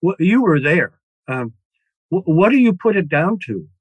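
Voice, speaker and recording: A man who is a guest writer in an interview, speaking thoughtfully in a halting way with frequent hesitations and restarts. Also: high voice, sing-song, breathy edge, dry recording. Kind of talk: interview conversation.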